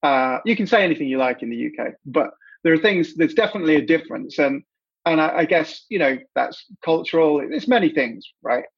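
A man from the UK with a British accent, hosting an interview and asking friendly, halting questions full of restarts. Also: on the high side, animated, slightly rough, lo-fi sound. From United Kingdom. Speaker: interview host.

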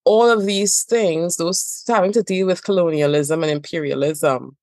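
Low-voiced Trinidadian woman, emphatic. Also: normal speed, darkish tone, no echo. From Trinidad and Tobago. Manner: emphatic, rhythmic repetition. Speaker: guest therapist. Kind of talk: podcast conversation.

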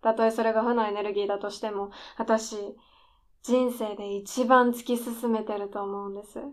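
A woman's voice: mid-range voice, level tone, moderate pace, natural voice. A woman playing a desperate, defiant aspiring scriptwriter who needles in polite speech, in a radio drama. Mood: desperate, resolute. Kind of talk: radio drama dialogue acting.

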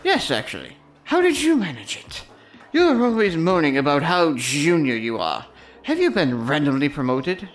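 A man's voice: fairly high pitch, wildly swooping pitch, moderate pace. A man playing a cynical, dry, mocking spaceship electrical tech in a sci-fi comedy audio drama. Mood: incredulous, mocking.

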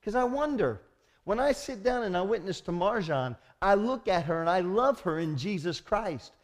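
A man delivers a sermon from the pulpit in an emphatic, rousing manner. His voice sits a little higher than most men's, and he keeps turning to the congregation with rhetorical questions. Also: lively intonation, normal speed. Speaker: pastor.

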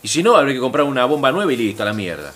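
A man's voice: mid-range voice, very animated, very fast, heavy background noise, lightly acted. An actor playing a defensive, grumbling middle-aged husband and father with a Rioplatense Argentine accent in naturalistic audio fiction. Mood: irritated. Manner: colloquial, defensive, swinging in emphasis.

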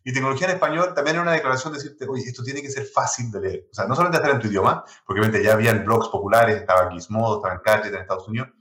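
Fast-talking Chilean man, echoey room. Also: mid-range voice. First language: not Spanish. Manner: quick, animated storytelling with many restarts. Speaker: guest, tech blog founder. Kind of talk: conversational interview.

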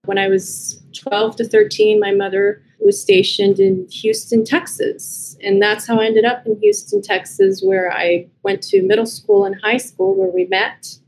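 A woman's voice: very high-pitched, sing-song, measured pace, vocal fry, hardly any pauses, a little mellow, slight background noise, poor audio. A woman in her thirties with an American accent, talking casually and reflectively as an interview guest. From United States and Brazil. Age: 30s. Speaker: podcast guest, writer and editor.

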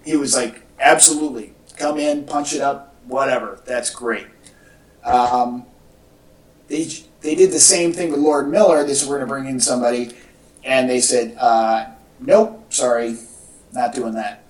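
A man talking casually as a podcast co-host, in a halting, conversational way. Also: medium pitch, fairly even intonation. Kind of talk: casual chat about movie news. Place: indoor room with echo. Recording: echoey room, distant from mic.

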